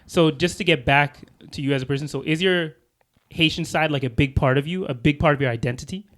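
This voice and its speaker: A male podcast host talks in a casual, conversational way as he interviews a guest. His voice is slightly high for a man, and he sounds like someone chatting rather than presenting. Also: brisk, a bit bright. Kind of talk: casual chat, asking questions.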